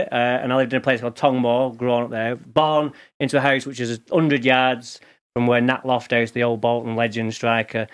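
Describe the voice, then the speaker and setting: A man in his forties with a Lancashire accent, a podcast guest telling candid, rambling stories about his working life. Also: medium pitch. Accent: Lancashire English. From England. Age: 40s. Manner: rambling, candid storytelling with restarts. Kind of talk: casual interview chat.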